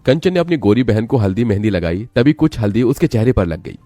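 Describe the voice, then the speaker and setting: Guy narrating a story, lowish dark mellow voice, dry recording. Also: animated, fairly quick, no room sound.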